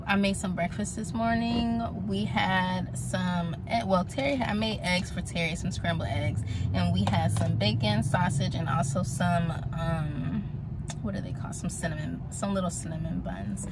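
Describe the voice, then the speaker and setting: A woman's voice: lowish voice, fairly even intonation, moderate pace, vocal fry, heavy background noise. Chatty young woman vlogging on her phone. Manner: chatty, bubbly, addresses viewers as y'all. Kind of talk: casual travel vlog. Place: car, then restaurant and shop. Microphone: phone at arm's length.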